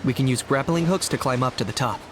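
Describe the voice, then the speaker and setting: A man plays a level-headed young-sounding game ally with a mid-pitched voice. He lays things out calmly and seriously, over faint background noise. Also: lightly acted. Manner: measured, explanatory. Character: level-headed male tactician comrade, calm and serious. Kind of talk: video game voice acting.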